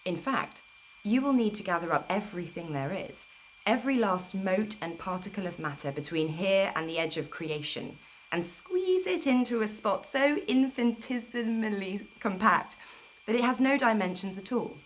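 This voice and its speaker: A woman reading a book aloud in a measured, deliberate storyteller's delivery on an amateur livestream recording. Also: mid-range voice, animated, slight background noise. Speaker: storyteller reading an audiobook live. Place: informal livestream, not a studio.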